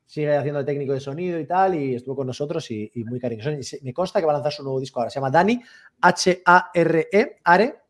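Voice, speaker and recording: A man with a fairly high voice hosts a relaxed interview. He is chatty and eager, often restating his questions in several ways. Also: quick pace. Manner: chatty, eager, repeats questions, lively asides. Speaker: interview host. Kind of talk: casual interview conversation.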